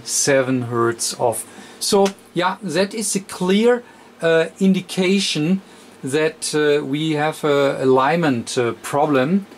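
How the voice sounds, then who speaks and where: Very slow man full of fillers. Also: medium pitch, slight background noise. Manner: halting, many fillers, counts aloud while adjusting. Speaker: radio repair technician. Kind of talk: hands-on repair demonstration. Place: electronics workbench.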